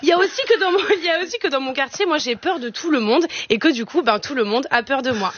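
A woman's voice: high-pitched, lively intonation, fast talker, fairly bright tone, dry recording. A woman with a French accent delivering a self-mocking, theatrical comic monologue as a radio columnist. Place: radio studio. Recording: slightly dull, dry studio sound.

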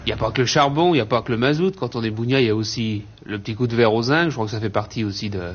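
A male reporter fires off quick questions, heard from a distance on an old, muffled recording. His pitch sits in the middle. He sounds businesslike. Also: husky. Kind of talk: archive interview questions.

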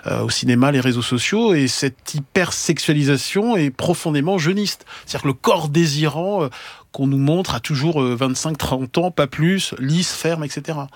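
A man hosting a radio talk show, his voice a touch higher than usual for a man. He speaks in a measured way, with frequent hesitations, and the studio sound is very dry. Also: no echo. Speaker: radio host.

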